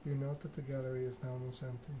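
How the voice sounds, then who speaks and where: A man's voice: medium pitch, little pitch movement, quick pace, noisy background. A man doing an ASMR read-aloud of a narrative game, occasionally stumbling over the written text. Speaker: gaming channel host.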